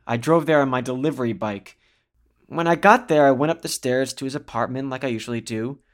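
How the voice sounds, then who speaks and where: A man's voice: mid-range voice, close to an everyday voice. An actor playing a nervous young pizza delivery man giving witness in an audio drama.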